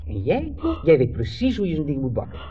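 An actor playing a placating, wry husband in a 1970s radio drama, soothing, teasing and at times hesitant. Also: male voice, a little high, wildly swooping pitch, brisk, mellow, faint music behind. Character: placating, wry husband, timid younger brother. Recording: dull, distant old radio recording.

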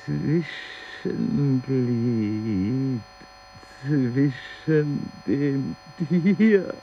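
An actor playing an agitated man in an experimental radio play, reciting in slow, broken phrases with wordplay. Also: mid-range voice, warm tone. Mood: raving. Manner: drawn-out, fragmented, word-play delivery. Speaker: radio drama performer. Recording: dull broadcast tape with mains hum.

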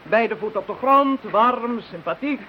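An actress playing a genial, eccentric self-styled witch and astrologer in a Dutch audio drama, chatty and theatrical, with musing pauses. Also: on the high side, expressive, bright, forceful tone. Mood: musing, playful. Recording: muffled, hissy old radio recording.

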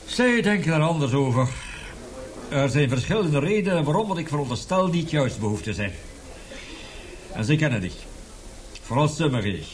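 A man's voice: fairly high pitch, big pitch swings, moderate pace, pauses often, slight background noise. An actor in a radio drama playing an embittered, passionate male professor who lectures emphatically and indignantly. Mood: indignant, bitter.

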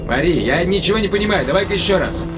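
A man playing an excitable, anxious spaceship captain in a science-fiction audio drama, talking in an emphatic, colloquial way. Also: high-pitched, fast, loud music behind the voice, voice pushed up. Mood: probing, concerned.

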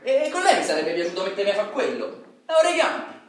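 An actor playing a wistful, rough-schooled young working-class Roman man, rambling colloquially with a Roman accent in a radio play. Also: sits high, sing-song, fast, fairly bright tone. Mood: wistful, earnest. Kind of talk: radio theatre monologue. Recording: very echoey, distant mic, slight noise.

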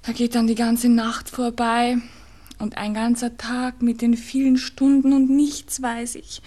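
An actress playing a devoted, anxious young woman in a 1960s radio play, with a Viennese accent and a soft, hushed, pleading way of speaking. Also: on the high side. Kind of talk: 1960s German-language radio play acting.

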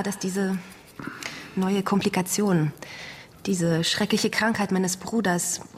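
Actress as worried sister, medium-pitched, polite, composed, earnest, radio thriller, faint background noise. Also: female voice, natural voice. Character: earnest, worried woman client searching for her brother. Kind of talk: German radio crime drama acting.

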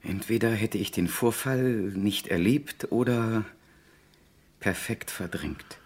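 A man's voice: fairly low pitch, takes it slowly, breathy edge. An actor playing a troubled, insistent accused man in a crime radio drama. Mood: troubled, subdued. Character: accused male driver Latour, troubled, insistent, indignant.

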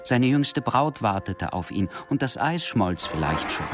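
A grave, sober male narrator tells a radio crime thriller in a deep voice. His delivery is measured, and music runs strongly beneath it. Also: sing-song. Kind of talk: scripted radio drama narration. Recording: prominent music under the voice.

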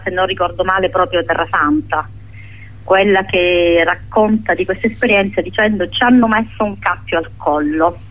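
Over a tinny, narrow phone line, a woman speaks as a guest writer. She tells her story reflectively, at a medium pitch. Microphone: telephone.

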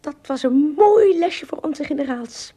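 A woman playing a proud, earnest tiny king of Lilliput in a Dutch radio play, confiding in a husky voice. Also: sits high, expressive, a little slow, warm tone.

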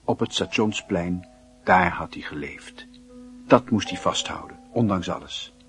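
A man's voice: deep voice, expressive, measured pace, a bit bright, soft background music, heavily acted. A man narrating a literary radio drama in a solemn, introspective, dramatic manner. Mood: grave, resolute. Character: solemn storyteller of a lovesick youth's inner life.

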